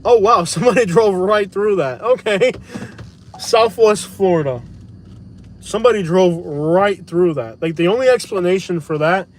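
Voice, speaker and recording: High-voiced man ranting from his car. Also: slight background noise. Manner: blunt, slangy, opinionated. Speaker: vlogger. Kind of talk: live-stream rant while driving. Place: car at night.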